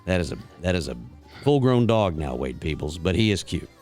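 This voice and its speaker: Radio host, man, very deep voice, lively pitch, dry mic, quiet music under him, teasing tone. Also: a little fast. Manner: joking, teasing. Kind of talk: casual radio chat.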